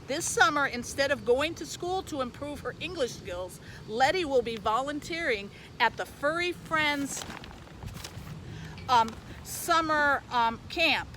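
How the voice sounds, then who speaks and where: A woman's voice: high voice, expressive, a little slow, sharp tone, slight background noise, poor audio. A woman speaking as a youth librarian, presenting and reading a children's book aloud, recorded on location.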